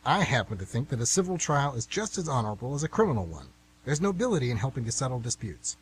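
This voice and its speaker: A man playing an indignant, civic-minded older gentleman on jury duty in an amateur radio play, with a lecturing manner. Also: mid-range voice, sing-song, quick pace, lightly acted, rough recording. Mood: righteous. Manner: lecturing, righteous. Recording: amateur radio, faint background hum.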